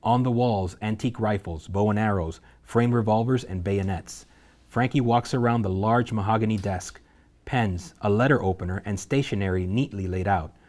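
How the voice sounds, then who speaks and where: A man narrates the action lines at a script table reading. His voice sits low, and he reads with steady, vivid pacing. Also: moderate pace. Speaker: narrator reading stage directions.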